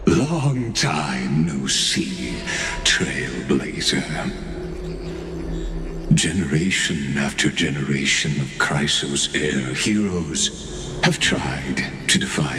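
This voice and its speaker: Super deep ominous solemn game character, slow, big echoey reverb. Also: male voice, lively intonation, bright, forceful tone, heavily acted. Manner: solemn, measured. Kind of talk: video game story voice acting. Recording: echoey hall-like reverb, faint music.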